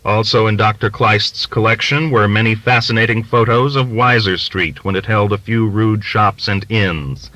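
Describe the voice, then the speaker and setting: A man reading literary fiction as an audiobook narrator, with an expressive, deliberate, dramatic delivery. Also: lowish voice, dry recording, rough recording.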